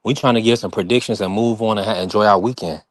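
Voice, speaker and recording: A man's voice: on the low side, fast talker, no room sound. A man from North Carolina hosting a boxing stream, talking firmly and preachily in a heated casual chat. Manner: firm, preachy, talks over others. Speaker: channel host.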